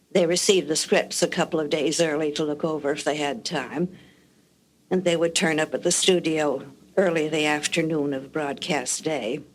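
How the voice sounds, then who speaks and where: An older woman with a low voice, reminiscing about radio production in a measured, articulate, dryly humorous way. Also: low-quality recording. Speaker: guest recalling radio production history. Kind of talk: reminiscing anecdotes.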